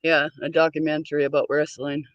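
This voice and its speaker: A woman's voice: deep voice, little pitch movement, brisk, no room sound, lo-fi sound. A woman chatting casually and playfully, passing on her son's questions and laughing easily. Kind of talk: casual chat.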